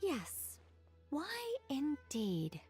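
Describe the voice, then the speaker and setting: A serene female guide from a video game. Her voice is high and takes its time, formal and gently solemn. Music plays behind her. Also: huge pitch swings, very slow, full character voice. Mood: solemn, explaining. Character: serene, wise, gracious female guide of divine lore.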